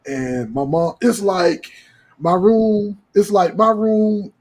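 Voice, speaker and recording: A man with a husky voice telling a casual podcast story in slangy, profane talk, acting out the dialogue. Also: high voice, slow pace, darkish tone. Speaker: podcast co-host.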